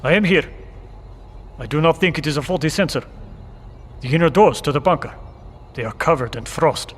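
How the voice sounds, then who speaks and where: A man plays a stoic, fatalistic bunker watchman in an audio drama, his voice a little high. His phrasing is formal and deliberate. It rings with long reverb over background noise. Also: lively intonation, lightly acted. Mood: uneasy.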